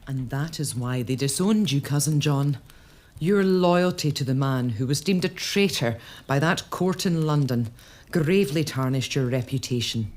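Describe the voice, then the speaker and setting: An actress playing a noblewoman who reads old letters and speaks reproachfully to a dead cousin. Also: rumbling low. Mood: earnest. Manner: measured, expressive reading of old documents. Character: Scottish noblewoman, searching and reproachful. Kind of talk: dramatic narration and monologue.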